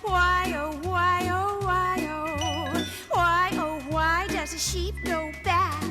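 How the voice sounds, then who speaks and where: A woman voicing a sweet, excitable, wide-eyed little girl puppet on a 1960s children's record. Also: very high voice, slow pace, over loud music. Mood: playful singing. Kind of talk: children's puppet record, scripted skits and songs.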